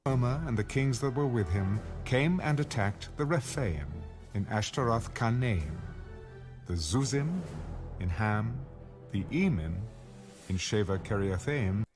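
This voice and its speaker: A man giving a scripted narration of scripture in a measured, formal way. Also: lowish voice, animated, music in the background. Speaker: audio Bible narrator.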